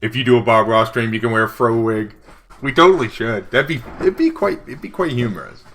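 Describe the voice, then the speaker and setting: A middle-aged man with a deep voice, chatting casually on a livestream as he draws and answers chat. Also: a little fast, faint music behind. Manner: relaxed, rambling, reads and answers chat. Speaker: livestreaming vector artist. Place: home studio desk.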